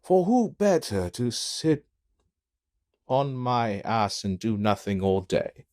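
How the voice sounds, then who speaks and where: A man's voice: mid-range voice, sing-song, slow pace, a little mellow. A man voicing a flamboyant, smug villain with a theatrical, drawling, mock-aggrieved delivery for a hobby audio drama. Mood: grandiose. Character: flamboyant, smug, theatrical male villain scheming world destruction. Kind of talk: hobby audio drama acting with in-character outtake banter.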